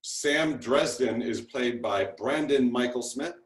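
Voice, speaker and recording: A man speaks from an echoey room on a video call. His voice sits at a medium pitch, and he talks casually and haltingly, with a self-deprecating manner. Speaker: TV series creator introducing his cast. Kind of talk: casual spoken interview.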